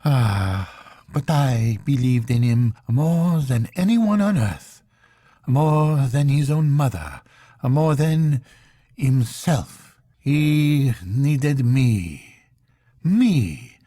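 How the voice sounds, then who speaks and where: A man voicing a grieving young woman, soft and pleading. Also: mid-range voice, very animated, very slow, dry recording, studio quality, pitched above the performer's own voice, full character voice. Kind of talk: audiobook reading of a literary novel.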